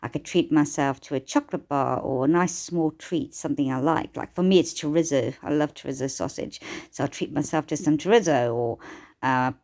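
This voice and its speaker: Lady life coach, low voice, bit croaky from a cold, chatty and encouraging, lots of restarts. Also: a little fast, a little mellow. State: hoarse from a cold. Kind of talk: casual self-help talk to camera.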